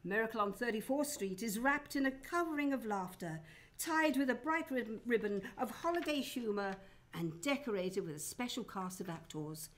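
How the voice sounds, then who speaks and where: A woman's voice: fairly high pitch, lively intonation, normal speed, fairly bright tone. A woman announcing and narrating in a theatrical, formal old-time radio show style.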